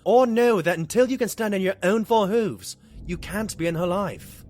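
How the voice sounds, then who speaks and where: A man's voice: sits high, expressive, moderate pace, darkish tone, faint music behind. A man playing an earnest, brave and kind sidekick stallion with a sincere, admonishing manner in an audio drama. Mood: firm, earnest. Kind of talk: cartoon audio drama acting.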